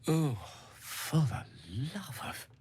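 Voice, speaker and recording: A man's voice: on the high side, expressive, slow, a little mellow, breathy edge, full character voice. Witty youngish wizard man in a game, well-spoken, impatient, very up and down delivery. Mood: exasperated, pleading. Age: young adult. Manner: articulate, wry. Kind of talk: video game character acting.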